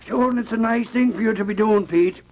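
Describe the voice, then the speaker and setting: A genial, folksy frontiersman from a vintage radio play. The voice is high for a man, on a dull old recording. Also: fairly quick, darkish tone. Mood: genial, admiring. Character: genial, folksy Irish frontier cabin owner. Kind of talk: old-time radio adventure acting. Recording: muffled old radio transcription.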